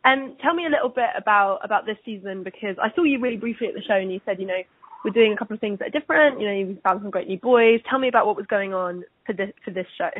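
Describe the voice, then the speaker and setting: A woman's voice: mid-range voice, fast talker, husky, dry recording. A woman interviewer asking chatty, roundabout questions in a conversational interview over a phone line. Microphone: telephone call. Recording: tinny, band-limited phone line.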